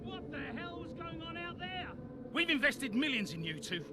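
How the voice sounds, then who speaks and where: High-pitched, commanding racing boss. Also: male voice, big pitch swings, moderate pace, heavy background noise. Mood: furious, scolding. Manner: blunt, commanding, scolding. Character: hot-tempered middle-aged male racing team boss. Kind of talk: cinematic video game dialogue.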